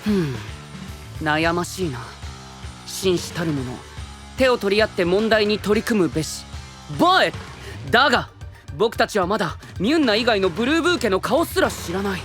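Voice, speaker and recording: A man playing a pompous, theatrical young gentleman knight in an anime-style voice drama, declaiming grandly. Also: sits high, expressive, normal speed, soft background music. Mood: grandly pensive.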